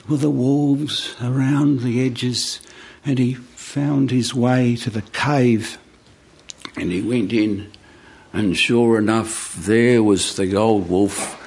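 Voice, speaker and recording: A man telling stories and hosting informally in front of a live studio audience. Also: medium pitch. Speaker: storyteller and live show host. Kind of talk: live storytelling and informal announcements. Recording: live radio studio, background noise.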